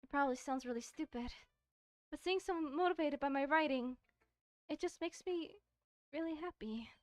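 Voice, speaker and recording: Visual novel schoolgirl, read by a female streamer: high, shy, bookish, hesitant delivery. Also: moderate pace, dry recording, clean studio sound, natural voice. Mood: bashful.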